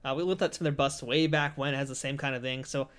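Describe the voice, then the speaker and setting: Fast-talking man co-hosting a review. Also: mid-range voice, steady tone, a bit bright, clean tone. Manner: chatty, jokey, explanatory. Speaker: co-host reviewing a collectible. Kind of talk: casual review chat. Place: indoor studio set at a table.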